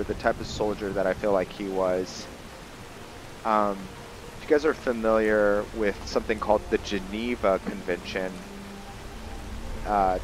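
Slow, low-voiced man musing over gameplay. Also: background noise. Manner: musing, halting, thinking aloud. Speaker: gamer narrating a roleplay playthrough. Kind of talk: unscripted reflective gameplay commentary. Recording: game rain and thunder behind voice. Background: game ambience of rain and thunder.

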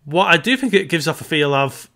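A man in his thirties co-hosting a casual film chat from home on a headset video call, measured and opinionated. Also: fairly high pitch, expressive, sharp tone. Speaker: podcast co-host.

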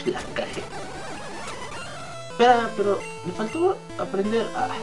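A man's voice: high-pitched, very animated, slow pace, poor audio. A man with a Mexican accent, slightly sick, giving casual, rambling, hesitant gameplay commentary with some mild swearing. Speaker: let's play gamer. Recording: game music behind the voice. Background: video game music.

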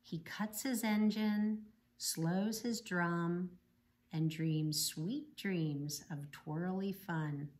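A middle-aged woman reading a bedtime picture book aloud in measured, rhythmic verse. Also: lowish voice, darkish tone. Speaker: volunteer story reader. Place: small living room, indoors on a sofa.

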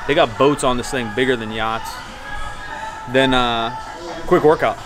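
A young man in his twenties casually vlogging to a handheld camera from a small cabin on a cruise ship, relaxed and slangy with lots of fillers. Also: mid-range voice, unhurried, over music. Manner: laid-back, slangy, many fillers. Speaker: fitness vlogger. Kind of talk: casual vlog talk to camera. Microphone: handheld camera mic at arm's length.

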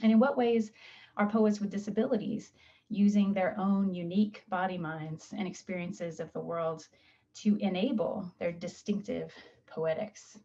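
A woman professor explains her research in a calm, measured way, pausing with soft fillers. Her voice sits low and mellow. The sound is dull and muffled, like a home webcam. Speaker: English professor. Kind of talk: faculty self-introduction. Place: home room with paintings and map. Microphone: video call webcam.

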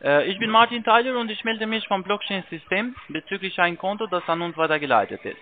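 Halting man scam caller on tinny phone line. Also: high-pitched, expressive. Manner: halting, broken grammar, many ums and restarts. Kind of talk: phone sales call.

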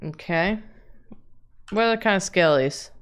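A woman's voice: lowish voice, a little slow, smooth. A woman in her thirties chatting casually as she draws on a livestream. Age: 30s. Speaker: streamer and artist. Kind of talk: casual chat while drawing.

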